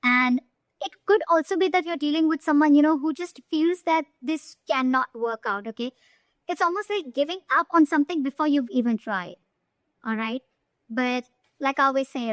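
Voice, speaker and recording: A woman's voice: high voice, dry recording. A woman giving an informal tarot reading straight to the viewer, speaking emphatically and often checking in with short tag questions. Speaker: tarot reader.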